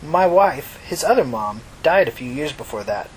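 A man's voice: fairly high pitch, sing-song, moderate pace, a little hiss or hum, poor audio. A man voicing a kindly, talkative old mother from New York in a story reading, folksy, reflective and reassuring. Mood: sad, reflective. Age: older.

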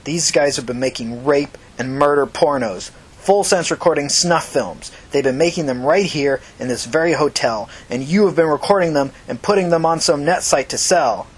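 A man's voice: on the high side, moderate pace, husky. A man voicing a vengeful, foul-mouthed young woman who threatens and commands in short emphatic bursts in an audiobook story. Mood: accusing, anguished.

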